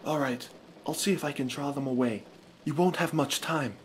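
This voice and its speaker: A man's voice: mid-range voice, normal speed, close to an everyday voice. A man playing a zealous, newly converted young Roman husband in an audio drama, earnest and emphatic. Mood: confident.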